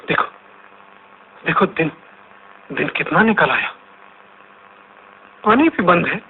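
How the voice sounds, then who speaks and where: An actor playing an ordinary, fearful man in a radio drama, halting and repeating words with gasped exclamations. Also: on the high side. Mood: shaken relief. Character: panicked ordinary man gasping for air, waking from nightmare. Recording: tinny, band-limited radio drama recording with noise.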